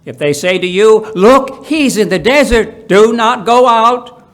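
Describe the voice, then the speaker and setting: A man reading scripture aloud in a solemn, deliberate way, with emphatic phrasing and pauses. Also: high-pitched, lively intonation, fairly bright tone. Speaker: Bible reader at a church.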